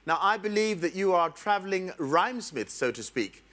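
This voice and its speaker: Male quizmaster, a bit high-pitched, playful, doubles as show narrator. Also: expressive, moderate pace, fairly bright tone. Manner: playful, teasing banter. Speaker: quiz show host. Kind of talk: scripted narration and quizmaster questions.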